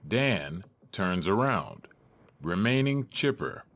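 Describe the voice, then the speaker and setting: A man reading scripted stage directions as narrator, measured and matter-of-fact. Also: low voice, very animated, fairly bright tone, no echo.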